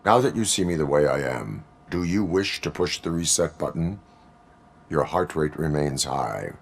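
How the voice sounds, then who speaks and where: A man's voice: extremely low pitch, expressive, normal speed, vocal fry, full character voice. A man playing a stoic cyborg policeman in a video game, speaking in a clipped, formal, machine-like way. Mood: flat, stoic. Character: stoic cyborg policeman, dead officer resurrected in metal body.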